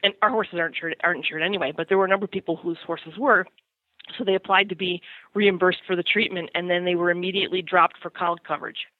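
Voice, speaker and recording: A middle-aged woman chatting casually and telling personal anecdotes in an animated, self-deprecating way. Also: fairly low pitch, fast, dry recording. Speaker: podcast segment guest on horse parenting. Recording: thin phone-like line.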